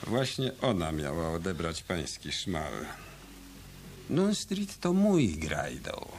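A man's voice: deep voice, sing-song, slow, soft background music, heavily acted. An actor playing a brash, slangy, streetwise tough guy in a hardboiled radio drama. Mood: cocky storytelling. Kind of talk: 1990s radio drama acting.

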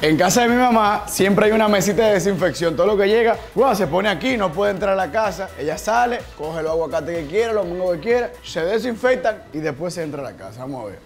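A man's voice: high voice, lively intonation, fast, few pauses, soft background music. Playful man vlogging from a car. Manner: jokey, playful, talks to camera. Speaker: vlogger host. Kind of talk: casual vlog chat. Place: inside a car and a home patio.

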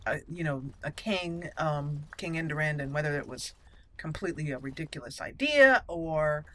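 Bright-voiced chatty woman gamer. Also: sits low, expressive, moderate pace, slight background noise. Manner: chatty, reactive, thinks aloud. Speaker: gaming channel host. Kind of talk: casual let's play commentary.

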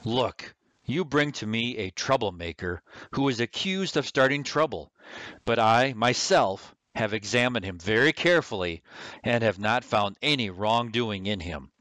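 A man acting a haughty, exasperated Roman governor in an amateur biblical radio drama, speaking deliberately and imperiously. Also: mid-range voice, expressive, fairly bright tone, dry recording.

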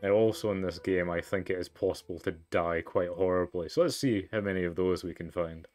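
A man's voice: low voice, brisk, a little mellow, soft background music. A man giving let's play commentary as he hosts a story game. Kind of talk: gameplay commentary mixed with reading aloud.

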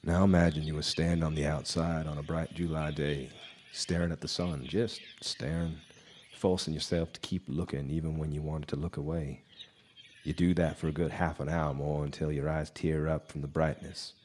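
Haunted hard-drinking male narrator played by an actor, deep, brooding, theatrical; live radio drama with noisy background. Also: little pitch movement, moderate pace, a little mellow. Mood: grim, haunted. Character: haunted, bitter, hard-drinking male guitarist telling his tale. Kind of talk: noir radio drama monologue. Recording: live stage recording with background noise.

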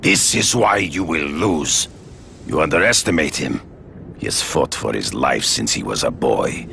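Casting: grim game villain, proud hunter, rumbling bass, slow solemn menace. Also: male voice, animated, bright, forceful tone, a little hiss or hum, full character voice. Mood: warning. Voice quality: gravelly. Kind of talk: video game voice acting.

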